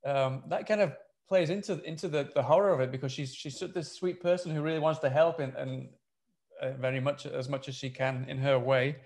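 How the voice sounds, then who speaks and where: A man interviewing someone casually, circling around his questions in a halting, roundabout way. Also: a little high, steady tone, darkish tone.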